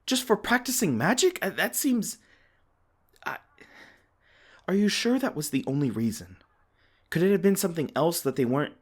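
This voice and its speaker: A man voicing a gentle, protective male fae king in an ASMR comfort roleplay, soft-spoken and tender, stumbling over his words now and then. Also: high voice, sing-song, moderate pace, long pauses, slightly rough, full character voice. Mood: alarmed, indignant.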